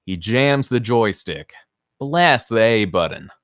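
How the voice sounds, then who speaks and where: A man reads screenplay action lines with expressive, swinging intonation. The delivery is dramatic and punchy, in a close, dry sound. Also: medium pitch, slow pace, no echo. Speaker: stage-direction narrator. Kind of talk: scripted screenplay narration.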